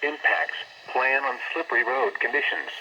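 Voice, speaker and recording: A synthetic male voice reads a scripted weather bulletin in a stiff, measured way, heard as a radio broadcast. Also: mid-range voice, expressive, bright, forceful tone, lo-fi sound. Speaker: automated weather radio announcer. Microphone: radio receiver speaker picked up by recorder. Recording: band-limited, noisy weather radio speaker.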